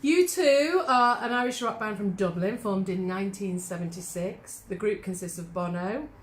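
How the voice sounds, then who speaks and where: A woman's voice: mid-range voice, moderate pace, reverberant. An English woman hosting a singalong, chatting casually, laughing often and reading facts aloud. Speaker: singing teacher and host. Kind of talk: casual chat.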